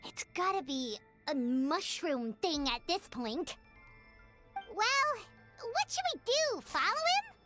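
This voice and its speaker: An extremely high, squeaky little girl-like sprite speaks in bouncy, unhurried phrases. She is a perky, blunt companion in a cartoonish video game, with music clearly behind her. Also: very animated, bright, forceful tone, heavily acted. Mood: puzzled, exasperated. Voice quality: squeaky, childlike. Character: tiny childlike female floating companion, excitable and blunt.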